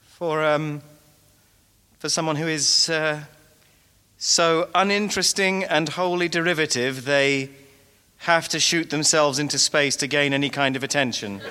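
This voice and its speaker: An actor playing a vain, self-pitying writer from the past in live audio comedy, pompous and stammering when flustered, recorded on stage in an echoey hall. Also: male voice, on the high side, unhurried, pushed and bright, clean tone. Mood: flustered, wounded.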